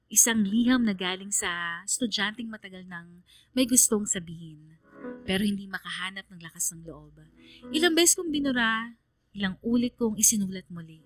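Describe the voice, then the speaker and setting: A woman's voice: mid-range voice, fairly bright tone, lightly acted. An actress playing a reflective, shy female student who tells her story as radio drama narration. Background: music under the voice.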